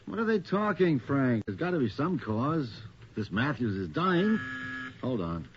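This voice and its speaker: An actor playing a gruff, sceptical, wisecracking police detective in a radio drama, sardonic and grumbling. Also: male voice, medium pitch, sing-song, unhurried. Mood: irritated, wry. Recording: muffled, noisy radio drama recording.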